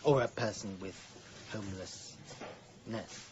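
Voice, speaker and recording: An actor playing an awkward, chatty, well-meaning younger English sidekick, hesitant and cheeky, in a radio comedy-drama. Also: male voice, lowish voice, takes it slowly, muffled recording, noisy background.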